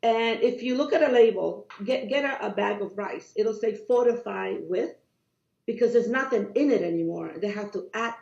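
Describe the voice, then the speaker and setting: A woman with a Cuban accent, a guest doctor in a conversational interview, explaining things in an animated and emphatic way. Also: mid-range voice, normal speed, gravelly. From Cuba. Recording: dull lo-fi audio in an echoey room.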